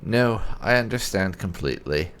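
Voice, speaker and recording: A man hosting a let's play, giving commentary in halting, drawn-out phrases. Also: low voice, animated, a bit bright, slight background noise. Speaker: gaming channel host.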